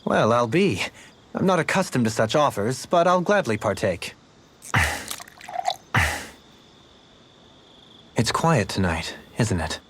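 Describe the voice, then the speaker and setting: A man's voice: medium pitch, sing-song, moderate pace, a little hiss or hum, lightly acted. A man playing a cultured, gracious nobleman who speaks formally and eloquently, in video game dialogue. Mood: gracious, pleased. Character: cultured, courtly nobleman, gracious, reflective, music-loving. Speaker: video game party member. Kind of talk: English-language video game character dialogue.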